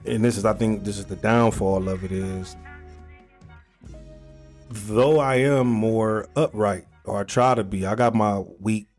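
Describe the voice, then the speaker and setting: A man's voice: on the low side, fairly even intonation, unhurried, darkish tone, faint music behind. A man in his thirties co-hosting a podcast, talking emphatically and profanely. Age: 30s. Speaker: podcast co-host. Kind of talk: casual chat.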